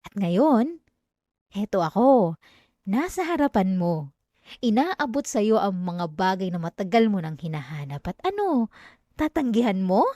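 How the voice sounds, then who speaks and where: A woman's voice: mid-range voice, very animated, a little slow, long pauses, dark tone, no room sound. A young woman with a Filipino accent voicing an obsessive, possessive female classmate in an intimate, dramatic ASMR roleplay, addressing the listener up close. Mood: obsessive, insistent. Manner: intimate, pleading turning coldly threatening, dramatic. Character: obsessive yandere female classmate, clingy, wounded, menacingly possessive. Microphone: close microphone, dry sound.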